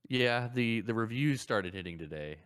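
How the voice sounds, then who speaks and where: Male podcast co-host with a low voice, casual gaming talk, hesitant and repetitive as he thinks aloud. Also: lively intonation, normal speed, fairly bright tone, smooth. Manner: hesitant, repeats words while thinking aloud.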